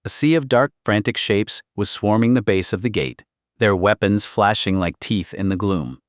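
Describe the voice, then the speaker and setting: A man's voice: fairly low pitch, normal speed, no room sound, clean studio sound. A man narrating historical fiction as a scripted audiobook, in a theatrical, intense and suspenseful manner.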